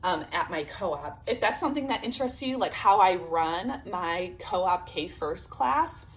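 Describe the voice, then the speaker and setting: A woman's voice: lowish voice, expressive, measured pace. Chatty woman with a sore throat. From Illinois, United States. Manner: chatty, filler-heavy, upbeat. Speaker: homeschool vlogger. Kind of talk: casual chat to camera.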